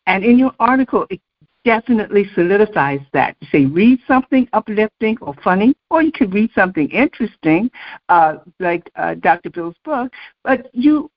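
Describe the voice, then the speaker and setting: A woman's voice: medium pitch, sing-song, normal speed, dull audio. A woman hosting a podcast, affirming her guest and reading out points to her listeners. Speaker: podcast host. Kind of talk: casual podcast talk.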